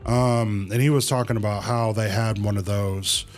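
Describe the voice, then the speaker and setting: A man hosting a livestream, chatting casually with viewers in a halting way, thinking aloud and repeating his phrases. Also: fairly low pitch, steady tone. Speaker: livestream host. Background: music.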